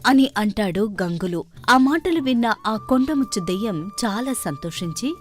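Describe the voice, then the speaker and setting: A woman's voice: on the high side, lively intonation, normal speed, soft background music. A woman storyteller reading scripted narration for a children's moral story.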